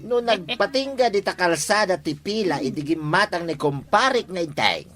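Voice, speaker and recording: A woman's voice: fairly low pitch, lively intonation, normal speed, fairly bright tone. A woman playing a pushy, teasing village neighbour in a radio comedy drama, emphatic and questioning.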